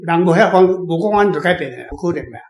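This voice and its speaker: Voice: medium pitch, animated, fast talker. Echoey Taiwanese speaker explaining in discussion. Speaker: guest author answering reading club questions. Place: echoey room, group discussion. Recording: echoey room.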